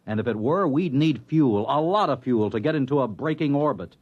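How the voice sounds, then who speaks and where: An actor playing a sardonic, precise spaceship navigator with a formal, biting way of talking, in old-time radio drama style. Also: male voice, mid-range voice, lively intonation, a little fast, natural voice. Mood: grimly factual. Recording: dull lo-fi old radio recording.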